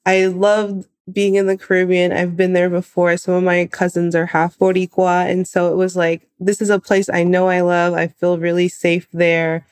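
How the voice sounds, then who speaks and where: A woman in her twenties from California, telling her own story in a lively, animated way. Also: lowish voice, moderate pace, hardly any pauses, clean tone. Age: 20s. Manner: lively, animated retelling with laughs. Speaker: guest. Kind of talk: personal storytelling.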